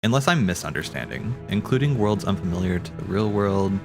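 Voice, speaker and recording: A man streaming casual, rambling theory commentary, thinking aloud haltingly, correcting himself and swearing casually. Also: deep voice, animated, vocal fry, music in the background.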